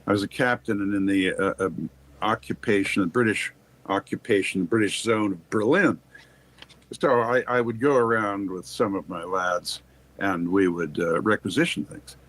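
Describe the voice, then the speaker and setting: An older man from Canada speaks as an interview guest in a low, husky voice. He tells anecdotes in an erudite, formal way, wandering into asides and restarting his phrases as he goes. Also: fairly bright tone. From Quebec, Canada. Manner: erudite, discursive, halting with repeated starts, formal wording. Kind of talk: anecdotal storytelling in conversation.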